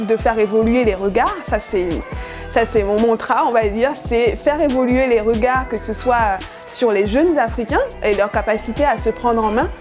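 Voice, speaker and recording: Earnest young woman, Yoruba native speaker. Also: a little high. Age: young adult. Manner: earnest, thoughtful, many hesitant fillers. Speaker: journalist and editorial project lead. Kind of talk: interview monologue about her work.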